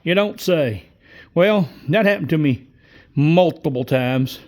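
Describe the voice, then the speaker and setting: A man's voice: fairly high pitch, animated, darkish tone. Folksy man in his sixties. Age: 60s. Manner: folksy, rambling, frequent "uh" pauses. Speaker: vlogger. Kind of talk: casual storytelling monologue.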